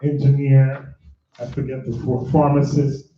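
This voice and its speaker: A man's voice: mid-range voice, little pitch movement, measured pace, warm tone, a little hiss or hum. Man from Nigeria, echoey workshop room. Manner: chatty, self-correcting, laughs with the room. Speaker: career bootcamp host and mentor. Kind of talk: live workshop talk with audience. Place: large room with live audience. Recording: echoey room, far from the mic, dull sound.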